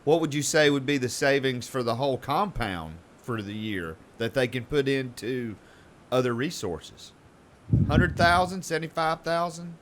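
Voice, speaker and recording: A man's voice: medium pitch, moderate pace, slight background noise. A man hosting an interview, asking careful, hedging questions. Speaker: show host.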